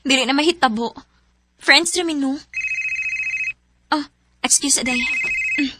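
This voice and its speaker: Woman doing an emotional young heroine, high bright voice, radio drama. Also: takes it slowly, slight background noise, full character voice. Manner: emotional, expressive. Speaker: lead character.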